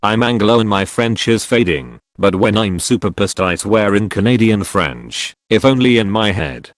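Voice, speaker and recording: Low, lively male forum-story narrator. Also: moderate pace. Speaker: narrator reading forum posts. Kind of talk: scripted narration of online comments.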